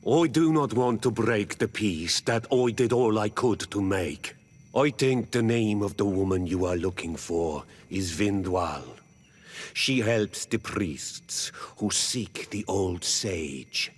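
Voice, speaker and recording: Slow, husky village leader. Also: male voice, fairly low pitch. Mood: wary, resigned. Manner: slow, deliberate, solemn. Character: weary, peace-loving male village leader, grave and thoughtful. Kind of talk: video game dialogue acting.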